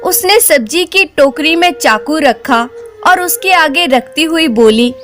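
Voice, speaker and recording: A woman narrating a scripted folk tale as a storyteller, in an expressive, measured way. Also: sits high.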